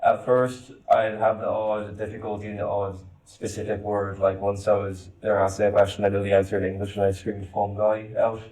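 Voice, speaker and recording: A young man who grew up in Spain, chatting casually on a family podcast in a relaxed, rambling way. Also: on the low side, fairly quick, few pauses. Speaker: hosts' son, guest. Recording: echoey room, distant mic.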